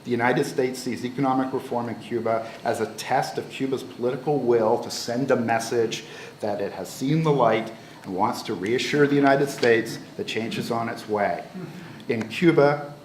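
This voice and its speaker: A man delivers a measured, formal talk from a panel stage, stumbling on a word here and there. His voice is middle in pitch and echoes around a large auditorium. A faint background noise lies beneath. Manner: measured, formal, some repeated words. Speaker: panel speaker. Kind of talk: conference presentation. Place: lecture auditorium. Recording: roomy hall with faint noise.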